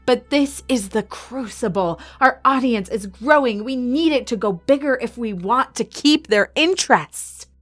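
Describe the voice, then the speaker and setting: A woman's voice: a little high, expressive, unhurried, few pauses. A woman acting a proud, defiant arena boss. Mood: firm, challenging. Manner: firm, challenging, self-assured. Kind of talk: audio drama acting. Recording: music under the voice.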